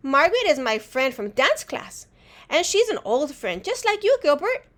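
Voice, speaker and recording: Lady doing a little schoolgirl from a storybook, high and earnest, says everything very firmly. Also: sing-song, moderate pace, a bit bright. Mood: matter-of-fact. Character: earnest little first-grade girl, polite and matter-of-fact.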